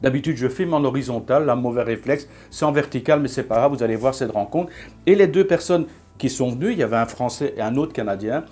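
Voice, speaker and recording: Vlogger in his fifties, chatty and halting, mid-pitched voice. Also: darkish tone. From Belgium. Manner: chatty, hesitant with frequent fillers and restarts. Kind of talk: casual vlog monologue.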